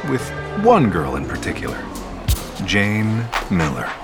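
An actor playing a grown man who recounts his troubled youth in first-person narration, with a very deep voice. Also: big pitch swings, unhurried, over loud music. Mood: reflective. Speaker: narrator of an audio drama.

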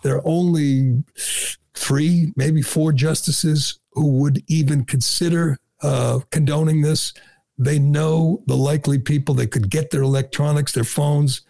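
A man's voice: a little high, fairly even intonation, unhurried, gravelly, mellow. A middle-aged man hosting a talk radio show, delivering a sarcastic, mocking monologue full of "uh" fillers and restarts.

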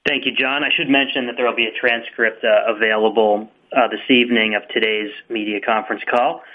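A man's voice: fairly low pitch, steady tone, brisk. A man moderating a media conference call, making procedural announcements and introducing questioners. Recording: band-limited conference phone line.

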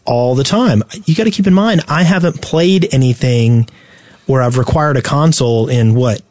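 A man's voice: medium pitch, normal speed, a little mellow, dry recording. A man co-hosting a gaming podcast, chatting casually in a bantering, contrary way. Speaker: podcast co-host.

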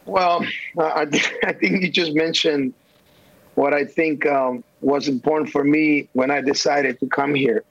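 Halting middle-aged man on a dull line. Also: a little high, steady tone, dry recording. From El Salvador. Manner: halting, many fillers and restarts. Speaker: national team coach, guest. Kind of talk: casual interview answers. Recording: dull, dry remote line.

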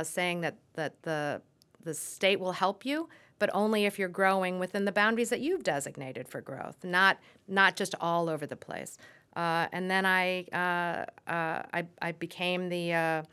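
Low-pitched American woman, measured explainer. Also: fairly bright tone, smooth. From suburbs of Saint Louis, Missouri, USA. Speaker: urban planning expert, guest. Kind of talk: thoughtful spoken interview answers.

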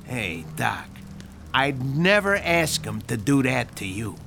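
Man voicing a gravelly mob boss. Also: medium pitch, very animated, slow, pushed and bright, slight background noise, full character voice. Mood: gruff. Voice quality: streetwise, ungrammatical slang. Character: self-made tough Chicago mob boss. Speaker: mobster. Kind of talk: radio drama acting.

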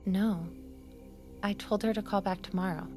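An actress playing a friendly, obliging young babysitter in a naturalistic audio drama. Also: female voice, mid-range voice, fairly even intonation, moderate pace, a little mellow, faint music behind, lightly acted. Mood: friendly, helpful.

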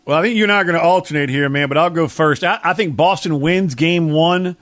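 A man's voice: a little high, quick pace, a bit bright, dry recording, clean studio sound. A man co-hosting sports radio in casual, conversational chat.

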